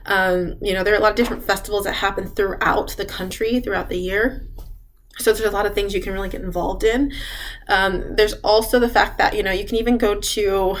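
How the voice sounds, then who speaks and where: A woman's voice: mid-range voice, fairly even intonation, a little fast. A young American woman giving casual advice about studying abroad, chatty and full of fillers with frequent little laughs. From United States.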